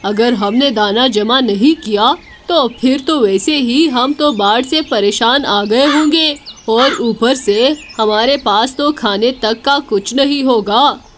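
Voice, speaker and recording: A woman's voice: on the high side, slow pace, hardly any pauses, fairly bright tone, a little hiss or hum. A woman voicing a hard-working, fretful male forest bird in an earnest, plain way for a children's cartoon. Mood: agreeing, concerned.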